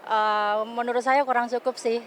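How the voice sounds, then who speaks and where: A woman who works as a domestic worker, answering a street interview slowly and carefully with fillers. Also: fairly high pitch, little pitch movement, slight background noise.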